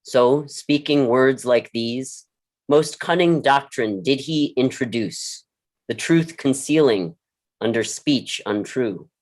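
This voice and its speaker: An actor plays a blunt, world-weary mentor in a staged drama. The voice sits at a middling pitch, and the delivery is deliberate and earnest, with a dry frankness. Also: lively intonation, darkish tone. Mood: grave, philosophical. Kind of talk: staged play reading, dialogue and monologue.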